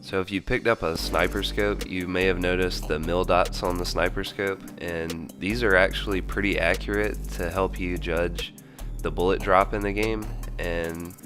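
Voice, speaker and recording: Low, stuffy-voiced gaming host man. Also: moderate pace. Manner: steady, explanatory delivery. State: stuffy from a cold. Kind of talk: scripted game tips voice-over. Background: background music.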